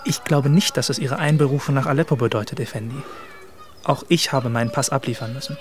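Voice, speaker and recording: An actor playing a polite, worried young private tutor, speaking formally and respectfully in a radio drama. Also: male voice, medium pitch, fast, natural voice. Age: young adult. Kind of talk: naturalistic German radio drama acting.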